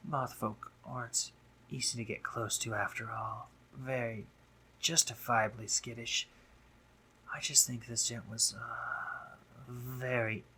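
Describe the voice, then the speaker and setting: A man playing a brooding, sardonic drider monster, a guarded but caring doctor, in an intimate roleplay audio drama, speaking formally with halting pauses. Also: medium pitch, gravelly, pushed and bright, a little hiss or hum, exaggerated voice, poor audio.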